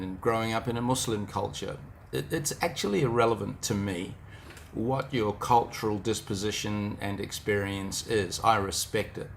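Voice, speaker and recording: A man's voice: lowish voice, gravelly, slight background noise. A middle-aged man with a New Zealand accent giving an informal motivational talk, reflective and speaking straight to the listener.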